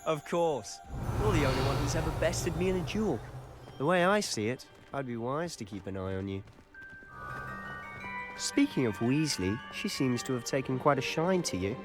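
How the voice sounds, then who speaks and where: A man voices a confident schoolboy in a video game. His pitch moves around a lot as he speaks with playful formality and wry courtesy. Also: expressive, moderate pace, music in the background, natural voice. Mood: wry, friendly. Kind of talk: video game character dialogue.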